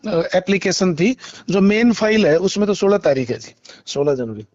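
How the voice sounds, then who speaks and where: Male lawyer, high-pitched, halting and polite explainer, lo-fi phone recording. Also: animated, a little mellow. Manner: halting, repeats phrases, polite frequent acknowledgements. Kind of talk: phone interview, explaining a case. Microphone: phone call. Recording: muffled, lo-fi phone call recording.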